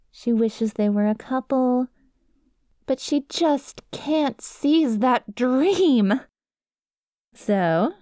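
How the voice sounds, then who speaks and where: Woman to play smitten yandere best friend, mid-pitched, coy and self-justifying storyteller, intimate ASMR roleplay, very dry sound. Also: dark tone, no echo. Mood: dreamy, wistful. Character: lovesick, obsessive yandere best friend girl confessing through a story.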